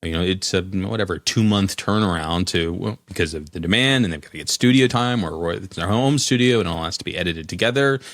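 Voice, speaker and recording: A man co-hosting a tech podcast, explaining things in a measured, conversational way. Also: deep voice, fairly bright tone, dry recording, clean studio sound. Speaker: podcast co-host. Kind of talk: casual tech chat.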